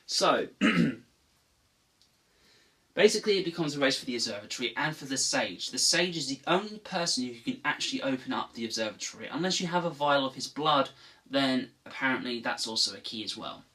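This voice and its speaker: A man hosting his own gaming channel walks through a game's story and features in a loose, rambling way. His voice is bright and forward, and he catches and corrects himself mid-sentence. He sounds a little distant from the microphone. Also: medium pitch, expressive, fairly quick. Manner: rambling, self-correcting, offhand. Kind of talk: casual game lore explainer.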